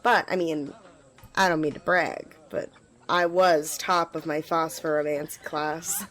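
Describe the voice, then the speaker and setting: A woman's voice: deep voice, fairly even intonation, measured pace, fairly bright tone, rough recording. A woman playing an eager, apologetic young squire in an ASMR fantasy roleplay, rambling and stammering as she speaks to the listener. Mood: sheepish, flustered. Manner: rambling, hesitant, stammering, giggly. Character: eager, flustered young female magic-wielding squire, apologetic.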